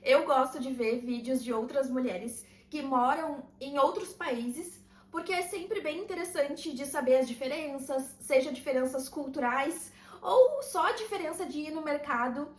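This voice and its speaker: High-voiced woman in an echoey room. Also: a little slow. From southern Brazil. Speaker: vlogger and routine mentor. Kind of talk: casual talking-to-camera vlog.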